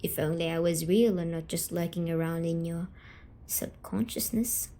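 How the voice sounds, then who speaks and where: A woman voicing a gentle, teasing female sleep paralysis demon, speaking softly and soothingly in an ASMR story-telling roleplay. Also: on the low side, close to an everyday voice. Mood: teasing, affectionate. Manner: slow, soothing, coaxing, softly spoken.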